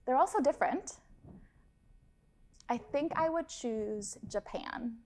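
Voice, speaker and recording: Gravelly-voiced upbeat woman in her thirties. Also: fairly high pitch, expressive, takes it slowly, a little mellow, a little hiss or hum. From Lebanon. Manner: upbeat, enthusiastic, chatty with fillers. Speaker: study abroad advisor. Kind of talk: casual interview talk.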